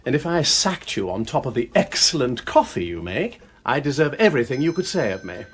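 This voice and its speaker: An actor playing a flippant, witty aristocratic amateur sleuth with an upper-class English accent and a bantering, drawling manner, in a radio drama. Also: male voice, mid-range voice, sing-song, fairly quick, husky. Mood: teasing, playful. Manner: breezy, bantering, drawling exclamations. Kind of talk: BBC radio drama acting.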